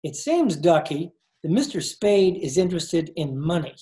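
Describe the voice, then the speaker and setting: A woman playing a smooth-talking, over-polite gangster henchman, florid and theatrical, in a 1940s radio crime drama. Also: fairly low pitch, sing-song, normal speed, dark tone. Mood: obsequious. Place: home, recorded over video call.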